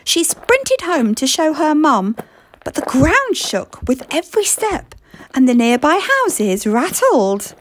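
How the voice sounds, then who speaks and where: A woman reading a children's story aloud in expressive scripted narration. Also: sits high, takes it slowly.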